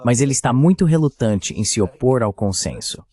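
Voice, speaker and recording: A man's voice: mid-range voice, lively intonation, fairly quick, warm tone. A man voicing a translated political discussion, giving critical, opinionated commentary.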